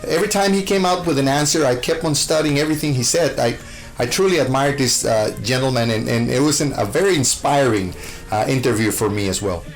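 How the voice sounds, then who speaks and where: A man's voice: mid-range voice, fairly quick, soft background music, rough recording. A man from Costa Rica, a show host, answering interview questions with gushing enthusiasm, full of fillers and restarts.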